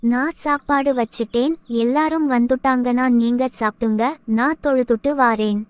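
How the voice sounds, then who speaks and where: A woman voicing a modest, polite elder daughter-in-law in an animated family short. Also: a little high, moderate pace, smooth, no room sound, close to an everyday voice. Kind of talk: animated moral short voice acting.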